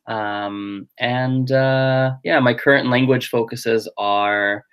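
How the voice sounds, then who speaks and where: Casting note: young male guest, native Gujarati speaker, easygoing, mid-pitched and unhurried, musing out loud. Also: clear, resonant tone. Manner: relaxed, thinking aloud with many fillers. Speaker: guest language teacher and podcaster. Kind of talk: casual interview chat.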